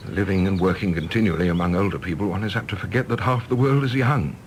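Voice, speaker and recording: A man's voice: low voice, fast, a little hiss or hum, lightly acted. An actor playing an earnest, wistful middle-aged English man with idealistic hopes, in a radio play. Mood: wistful, reflective.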